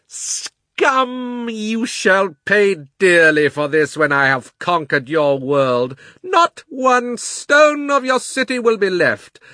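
A man voicing an imperious, vengeful witch queen for a storybook, declaiming slowly and grandly in a ringing voice. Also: sits high, pushed and bright, dry recording, clean studio sound, voice pushed up, heavily acted. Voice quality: ringing, clear.

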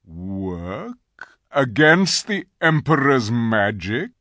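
This is a performer acting a majestic male lion from a storybook. He speaks very slowly and gravely, in a measured, growling voice. His temper is calm and stern. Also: no echo, clean studio sound, exaggerated voice. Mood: solemn, stern. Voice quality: low growl.

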